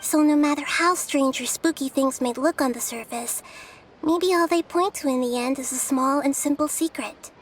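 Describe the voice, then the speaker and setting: A woman voicing a small, girlish deity in a video game, a wise and gently playful teacher with a measured, explanatory way of talking. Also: high voice, background noise, natural voice. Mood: reflective. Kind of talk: video game cutscene acting.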